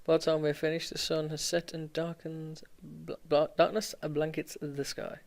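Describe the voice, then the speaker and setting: A man's voice: on the high side, little pitch movement, normal speed, creaky. A male game streamer reading a visual novel aloud, stumbling over the text and dropping in casual, joking asides. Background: game music.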